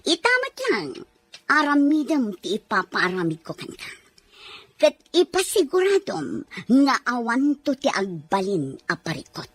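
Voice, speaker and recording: Woman playing a menacing high-pitched scheming rich mistress. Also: husky, no room sound, exaggerated voice. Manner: menacing, commanding. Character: scheming, threatening rich mistress ordering her nanny around. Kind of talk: Ilocano radio-style drama acting.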